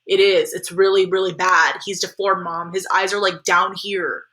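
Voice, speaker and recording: A woman's voice: on the low side, little pitch movement, moderate pace, pushed and bright. A woman voicing a blunt, exasperated schoolboy, the older brother, arguing in casual kid slang in a story reading. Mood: insistent. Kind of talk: audiobook character dialogue.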